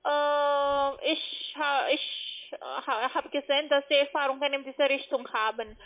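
A woman speaking non-native German, giving a scripted cold-call sales pitch. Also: fairly even intonation, unhurried. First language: not German. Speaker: cold caller selling trading platforms. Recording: muffled lo-fi phone line.

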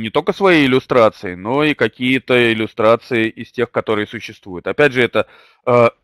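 Older guy with a bit of a cold giving a webinar, lots of umms, dry close sound, mid pitch. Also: a bit bright, dry recording. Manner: hesitant, many drawn-out fillers. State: slight cold, coughing. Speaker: webinar host and publishing trainer. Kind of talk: webinar lecture with personal anecdote. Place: small indoor room. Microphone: webcam or desk mic at a computer.

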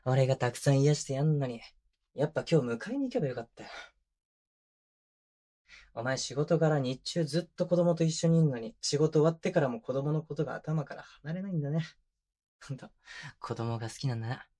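A man playing a tender young boyfriend who teases and mock-scolds in casual, rough-edged speech, in an intimate binaural ASMR audio drama. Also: expressive, fairly quick, long pauses, warm tone. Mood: tender soothing. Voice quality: medium-low voice. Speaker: voice actor. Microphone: close binaural microphone.

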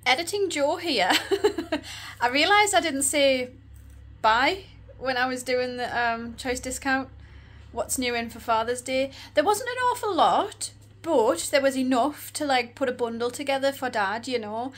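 A woman in her forties with an Australian accent, chatting and rambling in a casual vlog, her voice croaky and tired. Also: high-pitched, unhurried, fairly bright tone. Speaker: vlogger. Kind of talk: casual shopping vlog chat. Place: room with neon lights, indoors.

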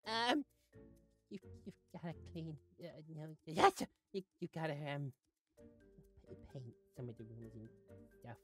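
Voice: mid-range voice, big pitch swings, measured pace, pauses often, fairly bright tone, soft background music. A performer voicing a timid, simple little sidekick creature, hesitant and stammering, in a comic gameplay series. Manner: hesitant, stammering, repeats words. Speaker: voiced sidekick character in a gaming series. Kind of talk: voice-acted gameplay comedy.

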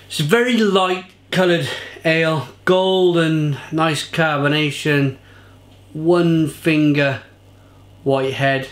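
A man's voice: fairly high pitch, steady tone, slow pace, echoey room. A man in his thirties giving an enthusiastic, casual beer tasting review to camera from a home kitchen. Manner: enthusiastic, gestures a lot while describing flavours. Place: home kitchen bar with shelves of bottles, indoors.

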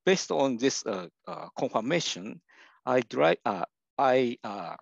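Older male lecturer, Japanese accent, gravelly, halting delivery full of fillers; dry lo-fi sound. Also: mid-range voice, big pitch swings, dry recording. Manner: hesitant, many "uh" fillers and restarts. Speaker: university professor giving a lecture. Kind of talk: slide lecture. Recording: dull lo-fi dry recording.

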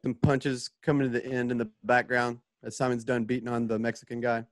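A man's voice: mid-range voice, little pitch movement, brisk. Man narrating stage directions. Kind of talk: scripted narration of sound effects. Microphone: home video call.

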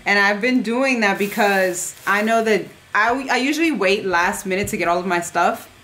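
A woman's voice: medium pitch, normal speed, sharp tone. A young adult woman chatting casually to camera as a vlogger, informal and rambling, often trailing off mid-thought. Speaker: vlogger showing a shopping haul. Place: living room at home, indoors.

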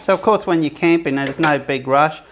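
A man's voice: fairly high pitch, normal speed, fairly bright tone. A man giving a casual, rambling cooking demonstration for his camping review channel, often restarting his sentences. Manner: chatty, rambling, self-correcting.

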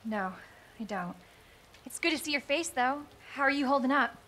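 A woman's voice: sits high, expressive, normal speed, sharp tone. An actress playing a plucky, caring young woman survivor in a video game, with an earnest, emotive and determined delivery. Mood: relieved, friendly. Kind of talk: dramatic video game cutscene acting.

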